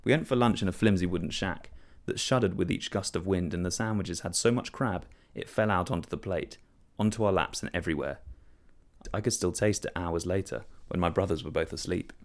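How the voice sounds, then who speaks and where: A man's voice: low voice, fairly quick. A man reading a first-person literary short story as scripted narration.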